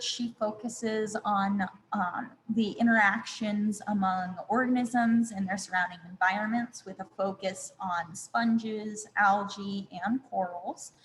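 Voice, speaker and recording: A woman's voice: medium pitch, a bit bright. Woman, web chat host, video call, chatty, friendly, fillers, long reverb, faint background noise. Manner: friendly, chatty, with frequent ums.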